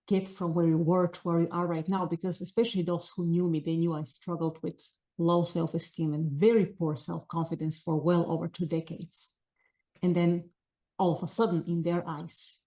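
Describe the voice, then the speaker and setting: A woman's voice: on the low side, mellow. A woman in her forties from Bulgaria, speaking with a Bulgarian accent as an author answering interview questions in earnest, flowing sentences. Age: 40s. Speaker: author guest.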